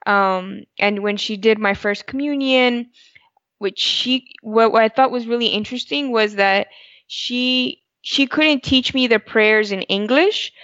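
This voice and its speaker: A woman co-hosting a podcast, Spanish her first language, recalling things in a reflective, halting way. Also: mid-range voice, a bit bright, dry recording. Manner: reflective, with frequent restarts. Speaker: co-host. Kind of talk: casual podcast conversation. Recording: dull, dry podcast audio.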